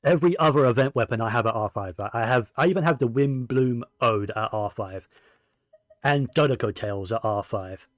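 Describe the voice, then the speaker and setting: A man's voice: medium pitch, normal speed, no echo, clean studio sound. A man giving casual, rambling gaming commentary, often correcting himself as he goes. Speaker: game streamer.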